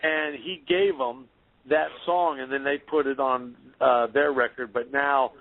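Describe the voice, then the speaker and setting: A man's voice: mid-range voice, measured pace, vocal fry, narrow, tinny audio. A man from North Carolina, a metal band member, chatting casually in a radio interview and telling stories. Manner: storytelling, animated impressions.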